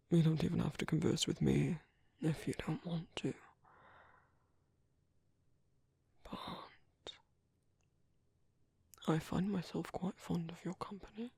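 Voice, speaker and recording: A British man playing a gentle, caring vampire priest in an ASMR roleplay, speaking softly, hesitantly and politely in a breathy, whispery voice up close. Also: fairly high pitch, no room sound, exaggerated voice. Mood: tender reassurance. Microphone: close microphone.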